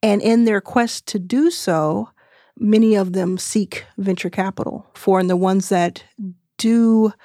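Woman, thirties, guest investor; calm explanatory delivery, mid pitch, dry close recording. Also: no echo. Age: 30s. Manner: measured, explanatory, with fillers. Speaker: venture capital investor. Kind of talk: conversational interview answers.

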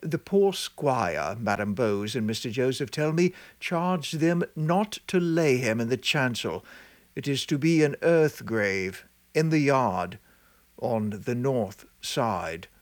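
A man voicing a brisk and impatient country clergyman. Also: medium pitch, expressive, no room sound.

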